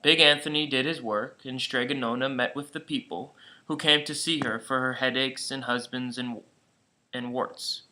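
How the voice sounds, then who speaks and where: A man reads a children's story aloud, plainly and a little haltingly. His voice sits at a middle pitch with a very bright, forceful tone. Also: steady tone, low-quality recording. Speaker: storybook reader.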